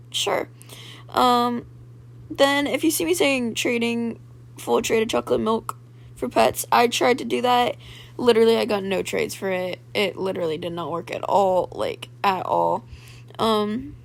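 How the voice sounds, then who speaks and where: A young woman with a raspy voice, chatting casually over gameplay footage and retelling trades with lots of "like" and "um". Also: on the high side. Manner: chatty, filler-heavy storytelling, full of "like" and "um". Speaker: gaming video creator.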